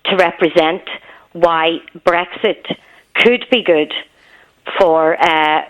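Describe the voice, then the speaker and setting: A woman in her thirties with a Belfast accent, calling a radio phone-in and giving her views earnestly, with many pauses and ums. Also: lowish voice, a bit bright, muffled recording. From Northern Ireland. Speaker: caller. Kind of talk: phone-in call.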